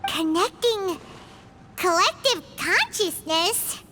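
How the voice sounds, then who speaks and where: A woman voicing a small, childlike, curious and excitable fairy companion with a squeaky voice in a video game. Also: slow, slight background noise, full character voice. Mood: surprised. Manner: refers to self in third person, exclamatory. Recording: music under the voice.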